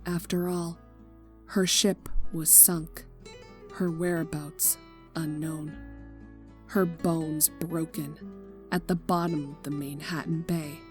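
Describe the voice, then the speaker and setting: A woman reading a tragic fan fiction story aloud in a somber, slow narration that drops to near-whispers. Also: low voice, little pitch movement. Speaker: fanfic reader. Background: soft guitar music.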